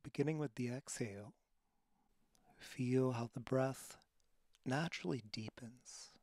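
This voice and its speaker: A man leading a guided relaxation as a yoga teacher, speaking calmly and softly with breathy phrases and long pauses. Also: medium pitch, no room sound, studio quality. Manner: calm, soothing instruction.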